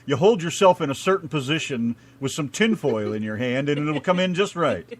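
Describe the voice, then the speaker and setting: A man with a fairly high voice hosts a casual chat. He is laid-back and keeps joking as he puts questions to his guest. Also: animated, fairly quick. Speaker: interview host.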